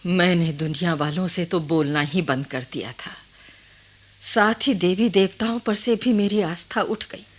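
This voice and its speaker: Slow, husky old village grandmother. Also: fairly low pitch. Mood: solemn, confiding. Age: older. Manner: measured, reflective, with dramatic pauses. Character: old village grandmother, calm, philosophical widow, fond. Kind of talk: Hindi radio drama acting.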